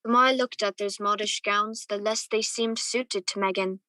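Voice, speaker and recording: A woman acting a conflicted young gentleman in love, who narrates his own story in a radio play. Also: medium pitch, steady tone, moderate pace, dry recording, studio quality, close to an everyday voice. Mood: wistful narration. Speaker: student or guest actor in a radio play.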